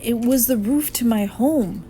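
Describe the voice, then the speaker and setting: Woman voicing a mild, slow-speaking male schoolteacher. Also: a little high, dark tone, a little hiss or hum, close to an everyday voice. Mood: mildly awkward. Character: polite, mild adult male schoolteacher in a storybook.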